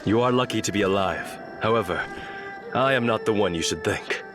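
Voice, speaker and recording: An actor playing a valiant, courteous warrior general in a video game, speaking in formal, earnest, heroic phrases. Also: male voice, lowish voice, a little fast, over music, natural voice. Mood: calm, formal. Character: valiant, courteous young male warrior general, solemn and noble. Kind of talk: dramatic video game cutscene acting.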